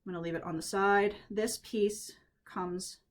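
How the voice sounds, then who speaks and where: A woman at home, a little croaky from allergies, chatting through a head-wrap tutorial and thinking aloud as she works. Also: medium pitch, steady tone, a little slow. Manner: chatty, thinks aloud while working, frequent restarts. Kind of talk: casual hands-on tutorial.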